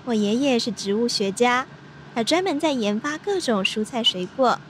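A woman playing a chatty, bossy young country girl in an audio drama, brisk and blunt. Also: a little high, expressive, close to an everyday voice. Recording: faint background noise.

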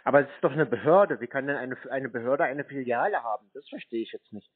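A man's voice: medium pitch, animated, quick pace, husky. A man in a phone conversation, bluntly challenging the other side with sceptical, confrontational questions. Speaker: scam-call baiter. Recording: dry, narrow phone-like sound.

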